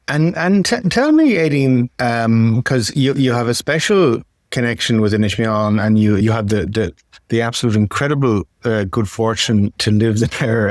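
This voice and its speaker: A man's voice: mid-range voice, no room sound. A man hosting an interview, repeating words and stumbling as he builds his long questions. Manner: stammering, repeats words while forming questions. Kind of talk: podcast interview.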